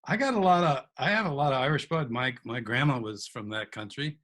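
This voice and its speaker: A man's voice: medium pitch, a little fast, a bit bright. A man from Wisconsin hosting a show and interviewing a guest in a casual chat, laughing often and restarting phrases. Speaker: show host.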